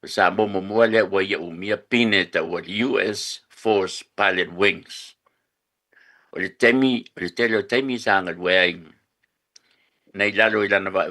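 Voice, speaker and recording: Male radio host, low pitch, bright tone, formal steady delivery. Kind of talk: formal on-air introduction.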